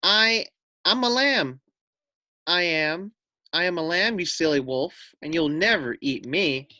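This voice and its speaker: A man's voice: fairly high pitch, lively intonation, slow pace, pushed and bright, no room sound, clean studio sound, voice pushed up, full character voice. A man voicing a small, fluffy young female lamb, innocent-seeming but crafty, in a children's storybook. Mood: triumphant.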